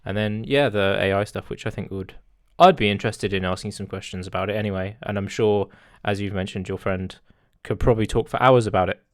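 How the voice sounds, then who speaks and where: A man's voice: lowish voice, a little fast, few pauses, no echo, clean studio sound. A man in his twenties hosting a podcast in a casual, rambling chat with many fillers and laughs. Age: 20s. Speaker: podcast host.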